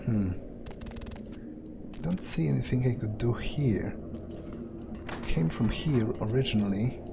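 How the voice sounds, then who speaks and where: A man playing a role-playing game in character, thinking aloud in a halting, musing mutter as his vampire character. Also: mid-range voice, vocal fry, background noise.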